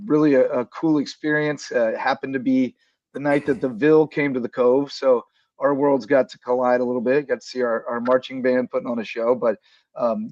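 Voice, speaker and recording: A slightly raspy man with a drawl hosts a relaxed sports chat, easygoing and full of "uh"s. His mid-pitched voice sounds dry and a little dull. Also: no room sound. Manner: easygoing, rambling with many fillers. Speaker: podcast host. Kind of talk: casual hoops talk show.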